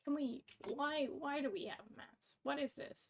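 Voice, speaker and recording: Woman, casual streamer, slow delivery, gravelly fry, self-mocking and scattered. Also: sits high, slight background noise. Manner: scattered, self-mocking, narrates her own fumbles. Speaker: livestream co-host. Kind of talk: casual livestream chat.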